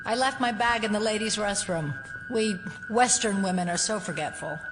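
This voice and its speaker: A woman's voice: medium pitch, normal speed, music in the background, natural voice, low-quality recording. An American woman playing a composed, concerned FBI agent questioning someone in an audio drama. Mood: polite.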